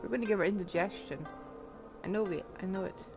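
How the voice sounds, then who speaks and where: A woman's voice: medium pitch, fast. A woman streaming a game, reacting casually with wry, joking asides. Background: game music.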